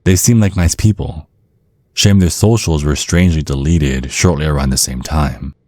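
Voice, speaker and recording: A man's voice: very deep voice, normal speed, darkish tone, no echo. A man playing a centuries-old vampire father in an ASMR roleplay, protective and slyly polite, teasing with veiled threats. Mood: insinuating threat. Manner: mock-courteous, insinuating, teasing with veiled threats.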